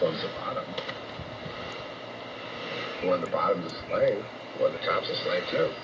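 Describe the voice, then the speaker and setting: Low-voiced American radio preacher over noisy shortwave. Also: male voice, muffled recording. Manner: blunt, confrontational, profane. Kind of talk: unscripted ranting sermon.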